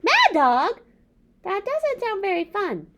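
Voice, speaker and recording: A woman voicing a curious, excitable, childlike bird puppet who chimes in during a children's read-aloud. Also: very high voice, takes it slowly, voice pushed up, exaggerated voice. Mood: excited, wary. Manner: exclamatory, inquisitive interjections.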